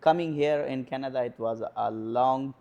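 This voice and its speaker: Casting: twenties guy, Indian accent, gravelly, mellow, halting interview answers. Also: medium pitch, highs cut off. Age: 20s. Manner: hesitant, many pauses and fillers. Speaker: interview guest. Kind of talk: casual sit-down interview.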